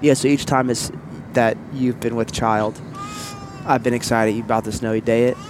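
Man, co-host, relaxed and playful, a bit sniffly, average pitch, in a car with faint noise behind. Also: normal speed. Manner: laid-back, playful, jumps up in pitch when excited. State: sniffly from allergies. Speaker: podcast co-host. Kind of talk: casual couple chat.

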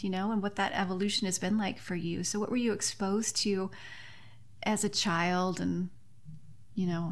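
A woman hosting a podcast in a conversational style, speaking earnestly and effusively. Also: medium pitch. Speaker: podcast host and author.

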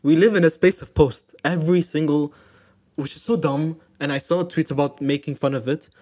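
Podcast host, mid pitch, asking stuff, jokey asides. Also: moderate pace, a little mellow. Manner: asks questions, playful asides. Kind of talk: casual podcast interview.

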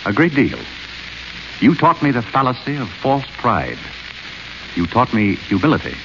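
An actor playing a polite, clever butler in a 1930s radio play, suave and understated. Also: male voice, mid-range voice, sing-song, a little slow. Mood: gentle. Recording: muffled old radio broadcast with faint hiss.

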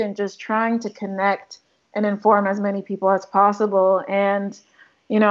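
Chatty woman photographer talking through her work, lots of likes, kinda muffled audio. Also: medium pitch. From Democratic Republic of Congo. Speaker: photographer and filmmaker guest. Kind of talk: casual interview talk.

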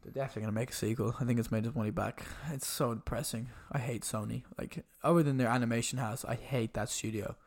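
A man's voice: medium pitch, fairly even intonation, fast talker, hardly any pauses. A man with a stuffy nose from a cold, co-hosting a casual podcast and bluntly complaining about a film. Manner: blunt, sweary, grumbling. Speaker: podcast co-host. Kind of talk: casual movie review chat.

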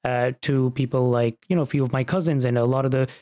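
Medium-pitched man hosting a casual podcast. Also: fairly even intonation, fast talker, mellow. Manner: informal, switches between English and Urdu. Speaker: podcast host. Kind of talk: casual chat interview.

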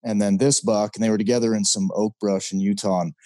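A man speaking as a podcast guest and hunting guide, telling stories in a relaxed, easygoing way. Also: on the low side, fast talker, no room sound. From Idaho, US. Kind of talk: casual interview talk.

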